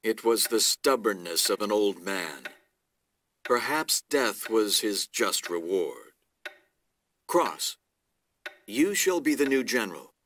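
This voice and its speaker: A man playing a stern, calculating middle-aged lord in a video game, measured and authoritative. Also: on the low side, sing-song, a bit bright, a little hiss or hum, full character voice. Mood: cold command. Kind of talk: video game cutscene acting.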